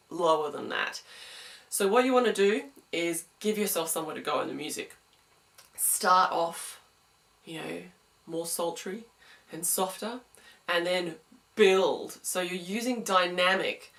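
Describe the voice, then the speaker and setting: A young woman with a smooth, bright voice talks through singing tips in a relaxed, chatty way. She stands a little back from the microphone, so her voice sounds slightly distant. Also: fairly low pitch. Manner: chatty, explanatory, with sung demos. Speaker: singing teacher. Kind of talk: vocal lesson to camera.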